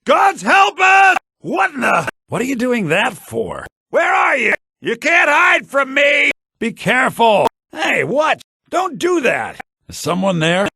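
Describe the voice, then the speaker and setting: A man playing a sly, grasping shopkeeper in a fantasy video game, with a raspy, theatrical delivery, in low-bitrate compressed audio. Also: sing-song, slow pace, sharp tone, dry recording, raised voice, studio quality, exaggerated voice. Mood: alarmed, angry. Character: sly fantasy male shopkeeper, grasping, quick to yell at thieves. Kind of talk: video game character barks.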